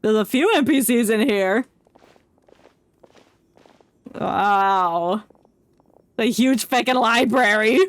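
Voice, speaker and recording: A woman's voice: fairly high pitch, takes it slowly, pauses often, dry recording. A woman doing casual livestream game commentary, rambling and reacting aloud in an irreverent way. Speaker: game streamer. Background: game music.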